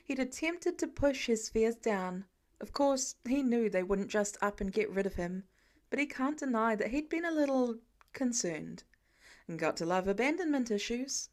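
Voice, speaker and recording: A woman with a fairly high voice narrates a written story. She reads scripted fiction in the manner of an audiobook. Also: darkish tone.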